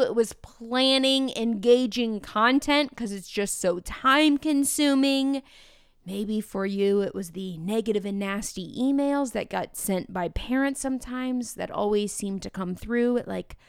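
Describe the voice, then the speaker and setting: A woman hosting a podcast for teachers, talking in an energetic, chatty, enthusiastic way. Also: mid-range voice, clean tone, no room sound, clean studio sound. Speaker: podcast host. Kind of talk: upbeat podcast talk to teachers.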